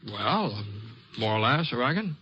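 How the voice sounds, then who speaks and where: A man's voice: mid-range voice, big pitch swings, a little slow, bright, forceful tone, breathy edge, full character voice. An actor playing a folksy, easygoing American Western man in an old-time radio drama, wry and patient with a hesitant, homespun manner. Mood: amiable, wry. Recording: muffled old-time radio recording, music behind.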